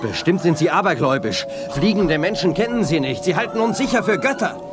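High-voiced, animated young space hero. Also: male voice, a little fast, music in the background. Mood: urgent, alarmed. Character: brave, decisive young male space adventurer. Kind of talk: German 1980s sci-fi radio play acting.

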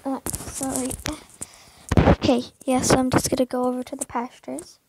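A woman's voice: high-pitched, monotone, moderate pace, background noise. A young woman casually talking through her horse barn in a game, hesitant, full of fillers and often dropping into a whisper.

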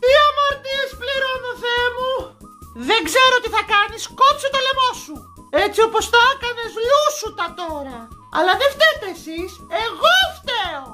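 Shrill, squeaky scolding Greek mother. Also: lively intonation, pushed and bright, soft background music, exaggerated voice. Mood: nagging, threatening. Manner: nagging, exasperated, theatrical guilt-tripping. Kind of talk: comic recital of stock parental catchphrases.

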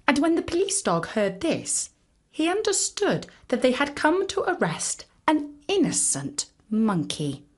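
A woman narrating a children's story in an expressive storytelling style. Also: sits high, unhurried.

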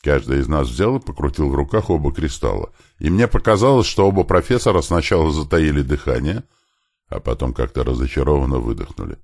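A man's voice: a bass voice, expressive, a little fast, creaky, darkish tone. A man reading a fiction audiobook, narrating a scripted first-person story with lightly voiced dialogue.

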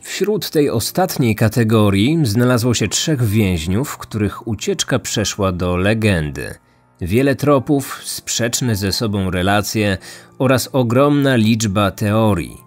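A man's voice: on the low side, lively intonation, takes it slowly. A man in his thirties reading scripted true-crime narration as a podcast host. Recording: music under the voice. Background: background music.

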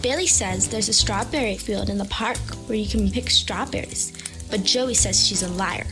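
A woman's voice: medium pitch, moderate pace, music in the background, close to an everyday voice. A woman voicing a chatty, scatterbrained little schoolgirl in a motion comic, with a childlike, insistent chatter. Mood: chirpy, chatty.

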